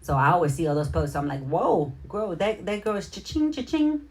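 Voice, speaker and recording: A woman's voice: fairly low pitch, animated, brisk, darkish tone. A middle-aged woman hosting a casual livestream, chatting informally and slangily as she addresses her viewers directly. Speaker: livestream host. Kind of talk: casual livestream chat with shout-outs to viewers.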